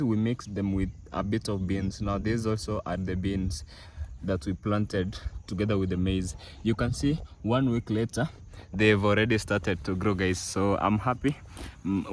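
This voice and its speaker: Low-voiced guy vlogging on a farm, chatty, lots of uh's, faint bugs outside. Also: slight background noise. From East Africa. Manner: chatty, many fillers and restarts, addresses viewers as guys. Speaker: village vlogger. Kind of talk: casual walk-through vlog. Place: outdoors on a farm field.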